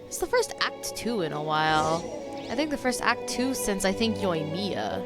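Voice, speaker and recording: A woman streamer giving casual, informal and expressive reactions while playing a game. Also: mid-range voice, normal speed, over loud music. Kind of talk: casual gaming commentary.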